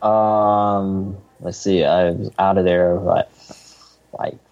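Low, slow-talking man from Hong Kong. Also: level tone, dull audio. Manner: hesitant, many fillers and restarts, laughs often. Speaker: podcast guest. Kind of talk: casual chat.

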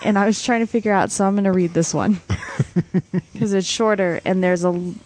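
A woman with a mid-range voice co-hosts a podcast in relaxed chat. She teases her co-host and giggles as she talks. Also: mid-range voice, normal speed, slight background noise. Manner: playful, teasing, giggly. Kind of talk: casual chat.

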